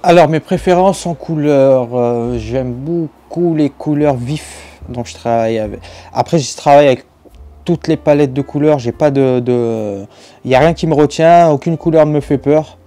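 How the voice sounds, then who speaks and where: A man's voice: on the high side, moderate pace, dark tone. A man in his thirties from the Lyon region of France, answering interview questions about his painting in a hesitant way, full of fillers and restarts. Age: 30s. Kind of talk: casual spoken interview answers.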